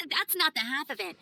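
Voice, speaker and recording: A woman voicing a perky, energetic young female knight scout in a video game, with friendly, emphatic delivery. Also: sits high, a little hiss or hum, heavily acted. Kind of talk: game cutscene voice acting.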